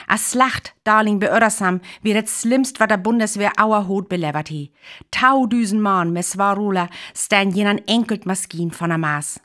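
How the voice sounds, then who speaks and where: Actress as a sober radio news announcer. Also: female voice, mid-range voice, normal speed, a bit bright, natural voice. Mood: grave, urgent. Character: woman news announcer soberly reporting a catastrophic invasion. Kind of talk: radio drama news bulletin.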